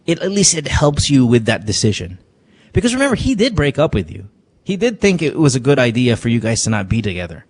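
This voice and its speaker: A man's voice: medium pitch, sing-song, fairly quick, darkish tone. A man hosting a call-in advice show, joking and frank, who drops Tagalog words into his talk. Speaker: radio advice show host. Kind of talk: casual call-in advice chat.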